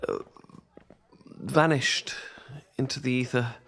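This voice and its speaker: A man's voice: medium pitch, takes it slowly, fairly bright tone. A man playing an awkward, smitten British student. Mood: wry, rambling. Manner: hesitant, stammering, self-deprecating, nervous chuckles. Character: shy, awkward young male student, secretly smitten best mate. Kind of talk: ASMR boyfriend-style audio roleplay, casual monologue.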